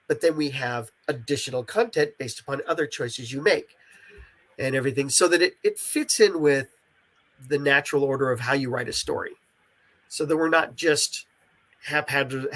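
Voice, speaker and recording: A man's voice: medium pitch, moderate pace, fairly bright tone. A talkative man explaining his creative work in a casual interview, stressing points by repeating them. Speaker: interviewed writer and creator of interactive audio dramas.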